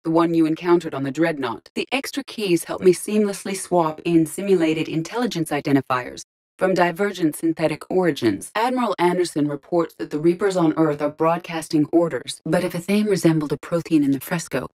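A woman voicing a calm, curious, literal-minded female ship artificial intelligence in a video game, speaking formally and precisely. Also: low-pitched, rough recording. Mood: calm, analytical. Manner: measured, formal, precise diction. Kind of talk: scripted video game character dialogue.

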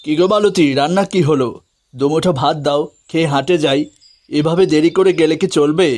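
Man as a high-voiced, honest poor village father. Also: brisk, darkish tone, close to an everyday voice. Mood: mild. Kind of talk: cartoon fairy tale voice acting.

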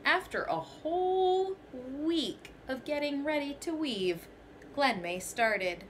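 Young female teacher, storybook read-aloud, slow and lively, bright-ish voice, faint room hiss. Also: high voice. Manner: expressive storytelling, first person as the goat. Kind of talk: children's picture book read-aloud. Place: small bedroom, indoors.

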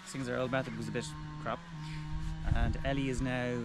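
A man's voice: medium pitch, little pitch movement, brisk, loud music behind the voice. A man in his fifties narrates his fencing work in a cheerful, joking way, filmed outdoors in a rural garden on a handheld camera. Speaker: vlogger. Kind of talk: casual home-renovation vlog. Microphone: action camera held close.

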